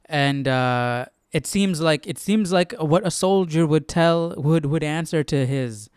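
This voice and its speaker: A man's voice: on the high side, unhurried, smooth, no echo, studio quality. A man hosting a casual live Bible study, musing aloud and often checking with a questioning tag. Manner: musing, tags sentences with "right?". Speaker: host.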